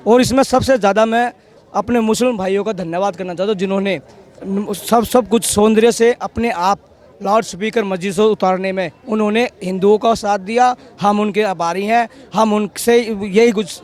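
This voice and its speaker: Guy in a street interview, really high pitch, formal polite wording, stops and starts a lot. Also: steady tone, normal speed. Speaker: member of the public being interviewed.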